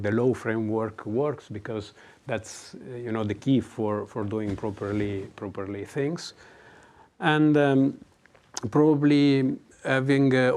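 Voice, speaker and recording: A man speaking as a panelist in a business webinar, talking haltingly with many restarts and fillers. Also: mid-range voice, a little mellow.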